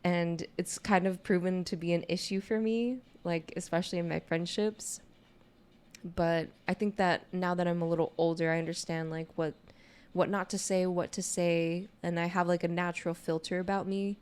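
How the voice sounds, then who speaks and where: A young adult woman with a naturally low voice chats casually on a podcast, speaking in a candid, informal way peppered with "you know". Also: fairly even intonation, moderate pace, darkish tone, clean tone. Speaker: podcast co-host. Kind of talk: casual conversational podcast chat.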